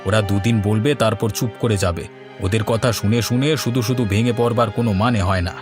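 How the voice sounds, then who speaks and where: A man's voice: fairly low pitch, fairly even intonation, a little fast, natural voice. A man playing a kind, soothing village husband in a cartoon, patient and reassuring. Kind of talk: cartoon voice acting. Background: background music.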